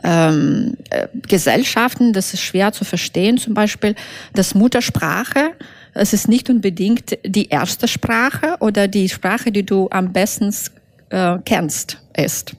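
A woman from Belarus, a native Russian speaker, answering interview questions in halting, careful German, with many fillers and self-corrections. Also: medium pitch, no room sound. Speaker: author being interviewed.

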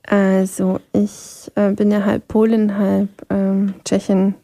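Gravelly, dark-voiced woman, native Polish speaker. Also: medium pitch, completely flat delivery, no room sound. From Vienna. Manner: thoughtful, pauses to search for words. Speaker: singer guest. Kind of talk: interview conversation.

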